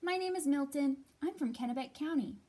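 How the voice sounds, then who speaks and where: A woman's voice: high voice, brisk, dark tone, pitched above the performer's own voice, lightly acted. A woman reading as an eager, friendly, game-loving squirrel in a picture book, with emphatic phrasing.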